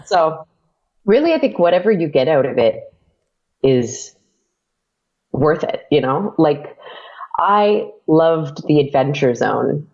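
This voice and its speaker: A woman's voice: low voice, very animated, takes it slowly, pauses often, a little mellow. A woman podcaster chatting casually as an interview guest, rambling and laughing easily. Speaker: audio drama podcaster, guest. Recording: dull lo-fi audio.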